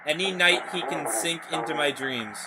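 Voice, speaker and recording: Guy doing a solemn canal developer for a horror story, formal speechy delivery, higher voice, noisy background. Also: lively intonation, moderate pace, natural voice. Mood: grave, confessional. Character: solemn male canal developer, a weary former diplomat.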